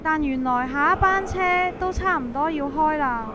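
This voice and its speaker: Female narrator, Hong Kong Cantonese, extremely high voice, colloquial dramatized suspense storytelling over light ambient noise. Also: moderate pace. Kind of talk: first-person scripted suspense narration.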